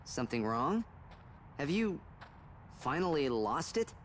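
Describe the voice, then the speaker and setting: A man's voice: on the high side, very animated, a little slow. An actor playing a young male fighter and friendly comrade with a casual, teasing manner in a video game cutscene. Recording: loud background music.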